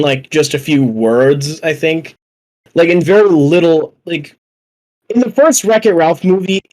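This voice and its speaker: A man's voice: mid-range voice, a little mellow, poor audio. A young man casually discussing films on a podcast, rambling and opinionated, with plenty of swearing. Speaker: podcast panelist.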